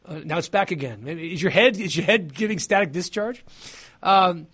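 A man hosting a talk radio show, speaking haltingly with many fillers and restarts in an easygoing way. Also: high voice, fairly bright tone, husky.

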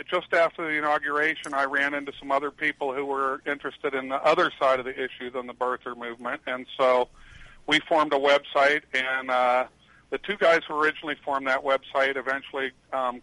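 An older man with a loud, bright, forceful voice, talking on a headset as a radio guest. He rambles with plenty of ums and uhs. The sound is dry and close. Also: mid-range voice, little pitch movement, a little fast, no room sound, poor audio. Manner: loud voice, rambling with many fillers. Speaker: guest, retired attorney. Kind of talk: radio interview answers.

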